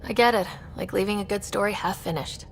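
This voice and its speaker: A woman's voice: medium pitch, fairly quick, gravelly, natural voice. An actress playing a young, determined woman leading a space expedition in a video game, casual and encouraging. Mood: friendly, curious. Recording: music behind the voice.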